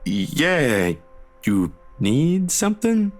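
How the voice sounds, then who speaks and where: A man's voice: a little high, very animated, drawn-out words, soft background music, full character voice. An actor voicing an awkward, kind young man in a visual novel game, casual and modest in his phrasing. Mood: awkward, concerned.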